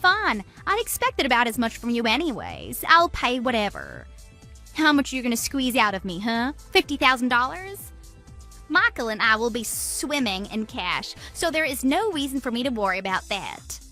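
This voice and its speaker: A woman acts out a gloating man-stealer in a radio drama. Her voice is bright and sharp, swooping with exaggerated, boastful taunts over a music bed. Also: a little high, huge pitch swings, moderate pace, full character voice. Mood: defiant. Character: smug, gloating mistress who steals other women's men. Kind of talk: scripted radio drama dialogue.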